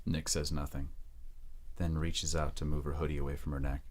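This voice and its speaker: A man's voice: very deep voice, level tone, fairly quick. A man reading scripted narration for an audio drama as its narrator.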